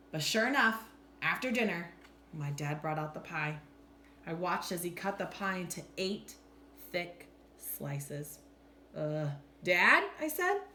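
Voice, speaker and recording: A woman reads a children's storybook with very lively, swinging intonation. Her manner is animated and expressive, as in a teacher's storytime. The room is echoey, with faint noise behind her. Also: medium pitch. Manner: animated, clearly acts out lines. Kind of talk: expressive storybook read-aloud.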